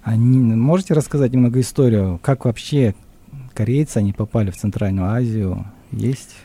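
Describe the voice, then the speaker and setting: A man hosting a live radio talk show with a formal, measured announcer delivery, speaking into a studio microphone. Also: mid-range voice, dark tone. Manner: measured, announcer-like reading of numbers.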